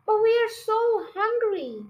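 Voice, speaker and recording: A woman's voice: very high-pitched, big pitch swings, a little slow, lo-fi sound, voice pushed up, heavily acted. A woman voicing a hungry, pleading young fox cub in a children's storybook.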